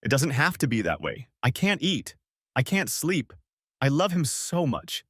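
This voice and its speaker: A man's voice: medium pitch, sing-song, measured pace, fairly bright tone, breathy edge, no echo, studio quality, voice pushed up, exaggerated voice. A man reading a story aloud, voicing a distraught young wife who whines and pleads in a raised voice. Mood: pleading. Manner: whiny, tearful pleading.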